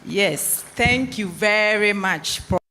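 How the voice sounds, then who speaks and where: Slow-speaking woman in an echoey hall. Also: medium pitch, animated, bright, forceful tone, slight background noise. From Ghana. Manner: measured, formal, appreciative summing up. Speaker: lecture moderator. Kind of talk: public lecture moderation. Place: large lecture hall. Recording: echoey hall with long reverb.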